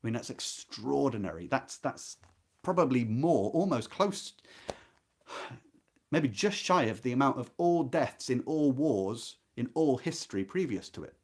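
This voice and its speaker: A man with a mid-pitched voice hosts a literary discussion. He speaks in an engaged, explanatory way, stressing and repeating key words and putting questions to his listeners as he reads and comments. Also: big pitch swings, measured pace, a little mellow. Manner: engaged, rhetorical questioning, emphatic repetition. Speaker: host of a literary readalong. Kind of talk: book discussion with expressive read-aloud passages.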